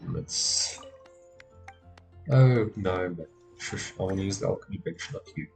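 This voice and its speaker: A man's voice: sits low, a little slow, gravelly. A man giving casual live gameplay commentary, muttering to himself and often repeating words. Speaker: game streamer. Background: game music.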